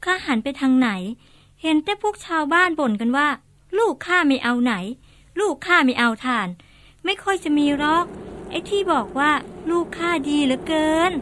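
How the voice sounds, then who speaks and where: A woman playing a worried village wife with a very high voice. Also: animated, normal speed, a bit bright. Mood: complaining. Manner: pleading, emotional.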